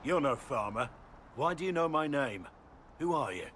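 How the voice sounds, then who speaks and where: An actor playing a gruff, battle-worn warrior and recruiter in a video game, blunt and commanding in manner. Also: male voice, on the high side, expressive, moderate pace, fairly bright tone, a little hiss or hum. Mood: wary. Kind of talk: dramatic video game acting.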